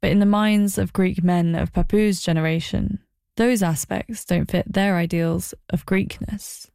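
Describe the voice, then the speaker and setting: A woman's voice: mid-range voice, fairly even intonation, a little slow, vocal fry, a little mellow, clean tone, no echo, studio quality. A woman presenting and narrating a personal documentary in a wry, thoughtful, conversational way.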